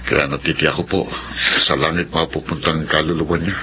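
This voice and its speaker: A man's voice: very deep voice, little pitch movement, fairly quick, sharp tone. A Filipino man playing a devout, consoling mourner in a radio drama, solemn and earnest. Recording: dull, faint background noise.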